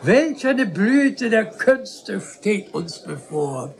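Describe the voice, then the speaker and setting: An actor playing a pompous, chatty provincial gentleman in a German radio drama, effusive and rhetorical in manner. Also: high-pitched, sing-song, creaky, exaggerated voice. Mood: self-satisfied, probing. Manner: effusive, rhetorical, with drawn-out pauses.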